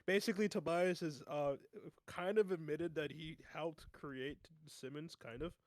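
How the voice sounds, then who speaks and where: A man's voice: fairly high pitch, measured pace, dry recording. A man taking part in a tabletop roleplaying game, casually explaining what his character says and does.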